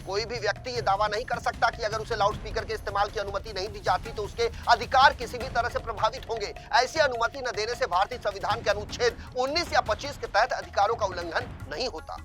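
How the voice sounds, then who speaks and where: A man's voice: high voice, fast, hardly any pauses. A man reading a scripted news bulletin as anchor, formal and emphatic. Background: music bed.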